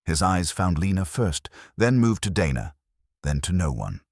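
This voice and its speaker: A man reading detective fiction in the first person as a narrator, with wry, measured storytelling. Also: low-pitched, sing-song, no echo, studio quality. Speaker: audiobook narrator.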